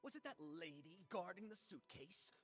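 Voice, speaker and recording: A woman voicing a vain, melodramatic male artist in a video game, with a pompous, theatrical, husky delivery. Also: lowish voice, expressive, moderate pace, lightly acted. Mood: indignant. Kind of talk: game voice acting.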